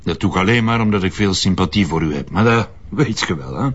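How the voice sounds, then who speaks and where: A man's voice: low-pitched, lively intonation, fast, muffled recording. A man playing a smug intelligence colonel in a crime drama, teasing and condescending, with a Flemish accent. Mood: confiding.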